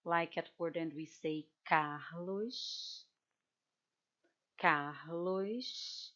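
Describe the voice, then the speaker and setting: A Brazilian woman who speaks English with a Brazilian Portuguese accent, teaching in an unhurried, measured way. Her voice sits a little low for a woman. She patiently repeats example words on dull, lo-fi audio. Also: fairly bright tone. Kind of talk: online language workshop.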